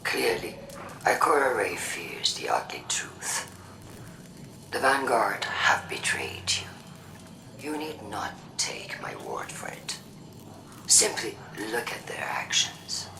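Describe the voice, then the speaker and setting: An actress playing a cold, imperious android faction leader giving a measured, accusatory address in a video game, with a low, breathy voice. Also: female voice, wildly swooping pitch, whispered, heavily acted. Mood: defiant, accusing. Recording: echoing address with music underneath. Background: music.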